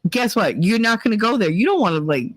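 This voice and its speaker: A woman's voice: mid-range voice, big pitch swings, quick pace, no echo. A woman hosting a vlog, giving blunt, rambling opinions with plenty of swearing. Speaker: vlog host. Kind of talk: casual opinion monologue.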